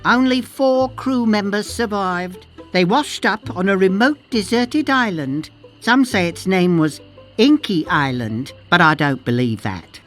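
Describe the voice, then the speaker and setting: Lady doing a cagey mapmaker woman in a pirate game, animated, chatty, bit of music behind. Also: mid-range voice, unhurried, close to an everyday voice. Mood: storytelling. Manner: chatty, conspiratorial storytelling. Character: cagey, knowing woman cartographer on a pirate island. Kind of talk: video game dialogue acting.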